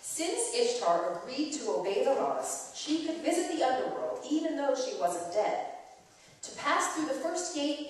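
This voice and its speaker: A woman telling a myth aloud in a dramatic reading, expressive and explanatory, as if to children. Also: a little high. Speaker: storyteller. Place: church, performed live before listeners. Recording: very echoey church hall, distant microphone.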